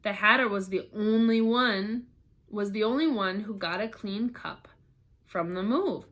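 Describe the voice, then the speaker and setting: A woman reading a children's story aloud in expressive scripted narration. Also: mid-range voice, a little slow.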